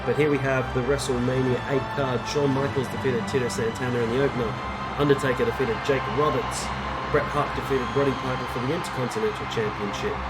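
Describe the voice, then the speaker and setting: A man's voice: medium pitch, very noisy. A man giving casual, informative gameplay commentary over game audio. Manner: informative, trivia-heavy recapping.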